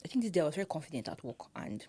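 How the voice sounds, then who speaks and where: A young Nigerian woman chats on a podcast with a slightly low voice. Her talk is animated and full of laughter. She speaks with a Nigerian accent. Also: racing, slightly rough. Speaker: podcast co-host. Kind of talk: casual chat.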